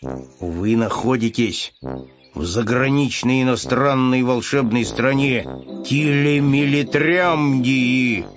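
A man's voice: on the low side, expressive, takes it slowly, lo-fi sound, faint music behind. A man voicing a pompous, stubborn little bear cub in a storybook, speaking in a solemn, dry, self-important official manner.

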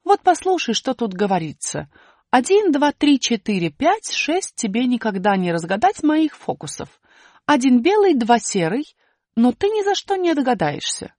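A woman's voice: fairly high pitch, sing-song, normal speed, a little mellow, dry recording, studio quality, pitched above the performer's own voice. A woman voicing a talking alphabet letter, a thoughtful, questioning female character with a raised voice. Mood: puzzled.

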